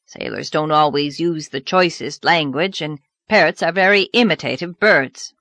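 A sheepish middle-aged farmer speaks nervously, stumbling over his words and backing down fast, as a woman narrator voices him. The sound is dry and close, with no room around it. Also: deep voice, a bit bright, no echo. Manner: nervous, stammering, slow.

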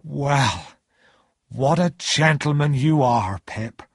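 A man voicing a humble, awkward, kindly working-class blacksmith in a storybook, speaking slowly and deferentially. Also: mid-range voice, very animated, slightly rough, dry recording, studio quality, full character voice. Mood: warm, admiring. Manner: slow, deferential, halting.

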